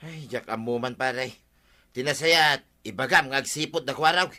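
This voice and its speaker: An actor voicing a worried farmer whose corn was stolen, complaining and apologizing in a comedy radio drama. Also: male voice, medium pitch, normal speed, pushed and bright, close to an everyday voice.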